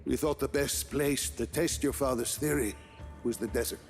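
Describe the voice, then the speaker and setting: An actor playing an older, thoughtful, weary scientist in a video game, with a measured, explanatory delivery. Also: male voice, mid-range voice, fairly even intonation, creaky, lightly acted. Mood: confident plotting. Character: older male scientist, thoughtful, proud, weary ex-prisoner plotter. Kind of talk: cinematic video game acting. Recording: music behind.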